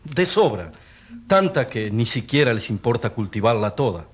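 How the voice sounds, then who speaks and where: A man playing an earnest country priest on trial in a radio drama, husky, testifying in a didactic way with rhetorical questions. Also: mid-range voice, very animated, moderate pace. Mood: earnest, indignant.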